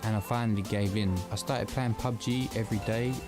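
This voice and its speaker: A man from England, a pro esports player telling his story in a relaxed, matter-of-fact interview voiceover. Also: fairly low pitch, brisk, over loud music. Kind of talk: documentary-style interview voiceover.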